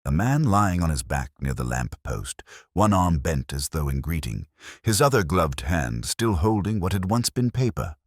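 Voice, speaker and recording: Casting: male actor as brooding detective narrator, extremely low husky voice, literary and reflective, close dry sound. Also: very animated, normal speed, dry recording. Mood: brooding, melancholy. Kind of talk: scripted first-person detective narration, audio drama.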